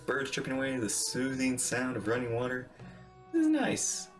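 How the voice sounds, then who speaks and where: A man's voice: medium pitch, huge pitch swings, normal speed, soft background music. A man giving casual, playful game-stream commentary with exaggerated reactions.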